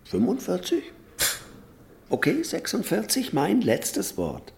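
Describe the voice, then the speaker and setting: A man's voice: sits high, wildly swooping pitch, takes it slowly, vocal fry, a little mellow, echoey room, full character voice. An actor playing a jovial old friend in his fifties on a hospital visit, talking in a jokey, colloquial way in a naturalistic radio play. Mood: wry, bantering. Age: 50s.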